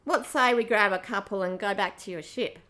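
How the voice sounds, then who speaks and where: A woman's voice: medium pitch, fairly quick, fairly bright tone, natural voice. A woman playing a brash, roguish space pirate queen in an amateur audio drama, with a brassy, casual manner and free swearing. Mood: businesslike, friendly.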